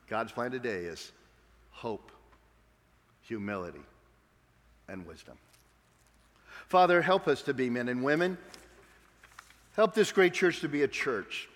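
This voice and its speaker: A man's voice: medium pitch, big pitch swings, unhurried, long pauses. A man preaching a sermon as pastor, emphatic and rhetorical, then closing in prayer. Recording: long reverb of a large hall.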